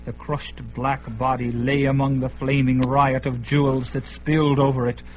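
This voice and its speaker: Brief: male narrator for fantasy radio drama, dramatic, swelling then hushed, music bed. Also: mid-range voice, flat delivery, normal speed, low-quality recording. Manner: dramatic, swelling at peaks, hushed in quiet parts.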